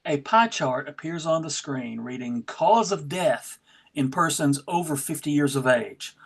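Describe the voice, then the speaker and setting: Table-read host and narrator: middle pitch, casual presenter who also reads stage directions. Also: animated, unhurried, vocal fry, slightly rough. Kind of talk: casual hosting and scripted narration.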